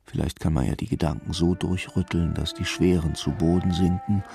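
Deep, gravelly, brooding male narrator. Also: steady tone, normal speed, dark tone, faint music behind. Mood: quietly taunting. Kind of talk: intimate dramatic radio narration.

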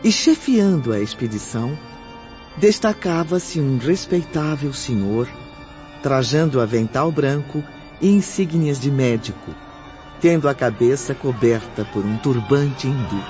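Male narrator, slow and solemn, muffled lo-fi sound with music under it. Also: medium pitch, expressive, a little mellow. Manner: measured, solemn. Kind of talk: scripted dramatic narration.

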